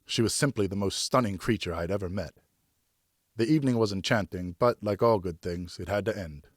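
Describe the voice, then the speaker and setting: A man with a fairly low voice reads a novel aloud. His delivery is scripted first-person storytelling from a professional audiobook narrator. The sound is close and dry, with very little room. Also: brisk, no room sound.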